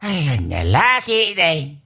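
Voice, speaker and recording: A man's voice: on the high side, huge pitch swings, pushed and bright, husky, exaggerated voice. A man acting a forgetful, evasive old husband in an Ilocano radio comedy drama, stammering, exclaiming and comically flustered, with an Ilocano accent. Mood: befuddled, evasive. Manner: halting, stammering, full of exclamations.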